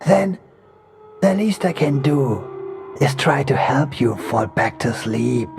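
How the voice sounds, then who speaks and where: An eerie being wearing a man's shape speaks in a slow, gravelly hush, as a male narrator puts it on. It stays calm and measured, drifting into whispers. A horror storybook figure with a creeping, patient menace. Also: medium pitch, music in the background, voice pushed up. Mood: soothing menace. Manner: soft, measured, slips into hushed whispers. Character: uncanny inhuman being posing as a calm man. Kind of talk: horror audiobook reading.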